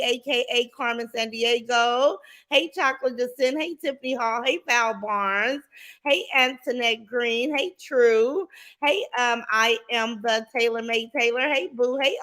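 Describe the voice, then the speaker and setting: A woman hosting a casual livestream reaction, greeting viewers one by one and calling them baby and sis, her voice stuffy and congested from a cold. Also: sits high. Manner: warm sing-song greetings, calls viewers baby and sis.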